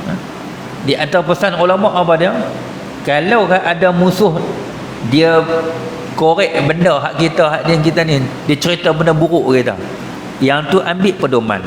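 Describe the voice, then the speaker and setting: A man's voice: a little high, normal speed, long pauses. A man with a northern Malay Kedah accent giving a colloquial, explanatory mosque lecture as an Islamic teacher, often checking in with short questions. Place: mosque hall. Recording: echoey hall, reverberant, noticeable background noise.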